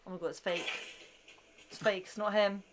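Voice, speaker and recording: A woman's voice: mid-range voice, steady tone, moderate pace, music in the background, low-quality recording. A young woman giving short, casual reactions as host of a TV reaction video. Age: young adult. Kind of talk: casual reactions while watching TV. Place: small indoor room at home. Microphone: large microphone in front.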